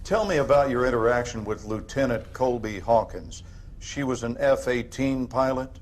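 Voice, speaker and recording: A man performs a lead interrogator in a war game, with a mid-pitched voice. He is controlled, measured and dryly formal as he probes, over faint background noise. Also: close to an everyday voice. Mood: probing. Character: controlled, probing male lead government interrogator. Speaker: actor in a military video game. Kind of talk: dramatic video game cutscene acting. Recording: background noise, room ambience.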